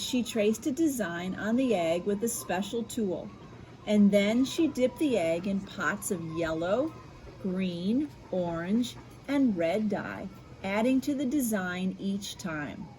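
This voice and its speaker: Unhurried, animated American woman reading to children. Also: mid-range voice, slight background noise, low-quality recording. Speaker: school media specialist reading aloud. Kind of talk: expressive children's picture-book read-aloud with explanatory asides.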